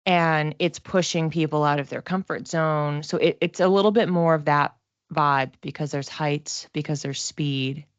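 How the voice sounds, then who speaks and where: A woman giving a casual, informal tarot reading as a psychic, reflective and halting, often giggly as she talks. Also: low voice, steady tone, no echo, lo-fi sound.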